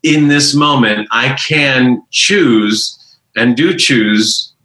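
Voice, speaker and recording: Bright-voiced man in an echoey room. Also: mid-range voice, drawn-out words. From United States. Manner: storytelling, hesitant restarts, warm laughs. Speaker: guest, life coach and author. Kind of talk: casual interview. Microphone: remote call microphone. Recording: echoey room over a call.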